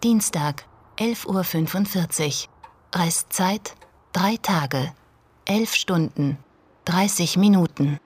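An actress in a radio drama voicing an impersonal online auction announcer, clipped and formal. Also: female voice, fairly low pitch, slow pace, husky.

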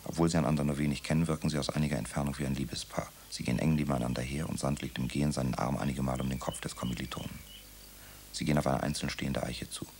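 A man with a gravelly voice narrating a radio drama in a brisk, matter-of-fact way. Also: fairly even intonation, darkish tone, a little hiss or hum. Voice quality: gravelly, very deep.

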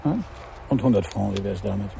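An actor playing a wry, world-weary private detective in a noir radio play, with a dry, sardonic delivery. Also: male voice, on the low side, brisk, gravelly, background noise. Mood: probing, casual. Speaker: narrator and lead of a crime radio play. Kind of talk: first-person noir radio drama narration.

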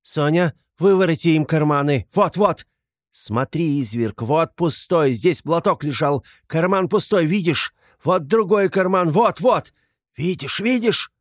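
Male reader as frantic poor widow, high strained voice, indignant, accusing, repetitive, dry storybook recording. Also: expressive, unhurried, no room sound. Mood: angry defiance. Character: hysterical, fiercely protective impoverished widow, sickly, indignant. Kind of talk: dramatized literary audiobook.

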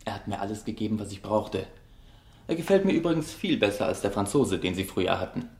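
An actor playing an earnest, morally concerned gentleman painter in a radio drama, with an urgent, formal way of speaking to his friend. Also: on the low side, lively intonation, fast, vocal fry, a little hiss or hum. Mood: friendly, eager.

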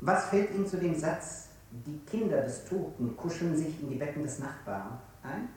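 An actor playing a cold, methodical inquisitor in a stylised radio play, speaking in formulaic, commanding phrases. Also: male voice, on the high side, lively intonation, normal speed, a little mellow, lightly acted. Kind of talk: stylised 1960s German radio play acting. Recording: echoey, distant studio with hiss, clicks.

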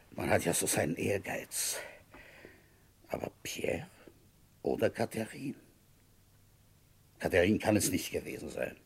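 An actor playing a shrewd, quietly ambitious rural police inspector in a German radio crime drama, questioning in a pointed, probing way. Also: male voice, fairly low pitch, breathy edge, exaggerated voice. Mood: musing.

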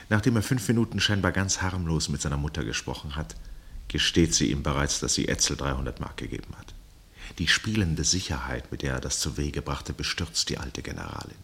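Very deep-voiced male narrator. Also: a bit bright. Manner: measured, sober, literary. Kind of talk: scripted narration for radio drama.

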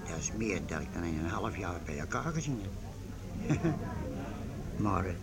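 A gruff, suspicious medieval gate guard with a gravelly, creaking voice. He speaks bluntly and slowly, with a loud crowd around him, as in a radio play. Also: deep voice, big pitch swings, full character voice. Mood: impressed, wary. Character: gruff, suspicious medieval town gate serviceman, easily bought. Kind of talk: period radio drama acting. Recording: loud crowd noise behind the voice.

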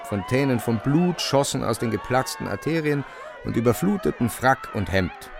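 A man's voice: medium pitch, animated, moderate pace, creaky, crowd noise, noisy background. A man narrating a literary radio drama as a dramatic storyteller.

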